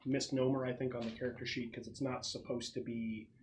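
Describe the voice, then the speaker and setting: A man acting as game master, explaining a tabletop roleplaying game to his players in a halting, explanatory way. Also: mid-range voice, little pitch movement. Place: room at a gaming table with friends. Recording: clear background noise with clicks.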